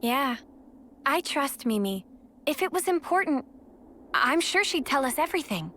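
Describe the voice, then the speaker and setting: A woman's voice: high-pitched, big pitch swings, moderate pace, sharp tone, a little hiss or hum, exaggerated voice. A woman voicing a friendly, trusting young heroine in a video game. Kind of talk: video game cutscene acting.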